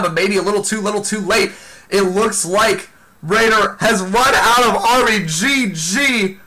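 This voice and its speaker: A man giving excited live play-by-play commentary on a video game match, exclaiming often, while losing his voice. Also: high voice, poor audio. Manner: excitable, exclaims often, talks fast in bursts. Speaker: esports commentator.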